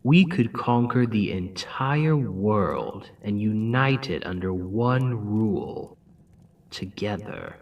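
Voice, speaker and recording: A man voicing a scheming, seductive demon king in an ASMR roleplay, speaking slowly and often in a close whisper. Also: on the low side, dull audio. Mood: persuasive. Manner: slow, deliberate, often whispered.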